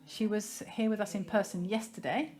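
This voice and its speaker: A woman giving a simultaneous interpretation of a seminar in a measured, formal way, pausing now and then to find her phrasing. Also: mid-range voice, steady tone, a little mellow. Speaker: conference interpreter.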